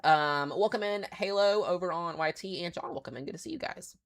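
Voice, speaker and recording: Fast, bright-voiced congested man. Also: high voice. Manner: excitable, chatty, filler-heavy. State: congested, sick with strep throat. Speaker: weather livestream host. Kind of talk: casual live weather briefing.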